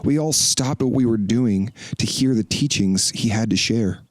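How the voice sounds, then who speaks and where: An actor playing a wary, questioning disciple and builder in an audio drama, speaking with earnest intensity. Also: male voice, mid-range voice, normal speed, no room sound, close to an everyday voice. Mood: earnest recollection.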